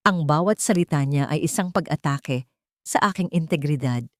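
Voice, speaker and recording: A woman's voice: deep voice, animated, moderate pace, no echo, studio quality. A woman reading a scripted first-person story in a dramatic, solemn manner. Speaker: narrator.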